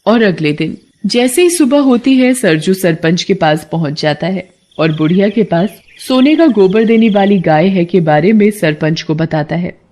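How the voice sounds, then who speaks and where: A woman's voice: mid-range voice, lively intonation, moderate pace, a little mellow, a little hiss or hum. A woman narrator reading a scripted cartoon folktale in a storytelling style.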